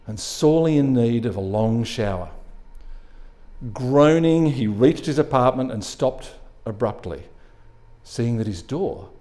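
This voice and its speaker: Mellow man reading a short story. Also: mid-range voice. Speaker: story reader. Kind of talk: expressive live short-story narration.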